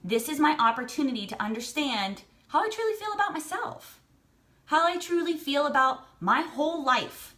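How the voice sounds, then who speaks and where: A woman's voice: high-pitched, animated, normal speed, pushed and bright. An American woman giving motivational advice to camera, blunt and emphatic, repeating phrases for stress. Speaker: vlogger giving personal advice.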